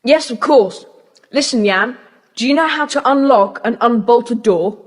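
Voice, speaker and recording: A woman's voice: a little high, moderate pace, husky. A woman playing a resolute, take-charge schoolboy in a children's audio adventure play. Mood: urgent, commanding. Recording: long hall-like reverb.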